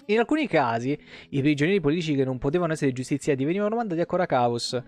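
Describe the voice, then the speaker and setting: Higher-pitched man reading aloud over music. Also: lively intonation, rapid-fire. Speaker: livestreamer. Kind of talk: reading game lore aloud with casual asides. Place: indoor room, webcam livestream. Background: soft guitar game music.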